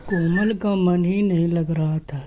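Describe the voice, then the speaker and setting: A woman's voice: lowish voice, fairly even intonation, unhurried, narrow, tinny audio. A woman narrating a scripted family story as a storyteller.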